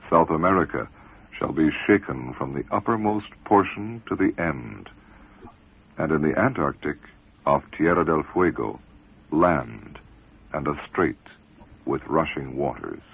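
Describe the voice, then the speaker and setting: Very deep, gravelly man, solemn measured reading, lo-fi radio sound. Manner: measured, prophetic cadence. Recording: narrow lo-fi radio broadcast.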